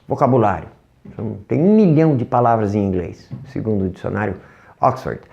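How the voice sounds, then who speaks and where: An English teacher with a Brazilian Portuguese accent gives an online lesson, animated, asking rhetorical questions and demonstrating sounds. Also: medium pitch, a little fast, vocal fry, dull audio.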